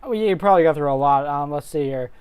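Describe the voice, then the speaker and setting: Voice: mid-range voice, fast, darkish tone, clean tone, dry recording. An amateur narrator and co-host who reads a story dramatically and chats casually about it.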